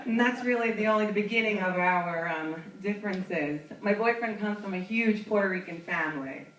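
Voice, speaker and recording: A woman's voice: medium pitch, moderate pace, a bit bright, slight background noise. A young woman from New York doing stand-up, telling a self-mocking story in a chatty way and laughing through her punchlines. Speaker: stand-up comedian. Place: comedy club stage. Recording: roomy club, mic from a distance.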